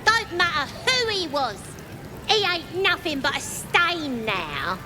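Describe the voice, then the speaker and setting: A woman's voice: high voice, very animated, takes it slowly, background noise, full character voice. A woman playing a cruel, belligerent young goblin in a video game, taunting in working-class English slang. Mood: callous, threatening. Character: brash, cruel young orphaned goblin brawler. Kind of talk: video game character acting.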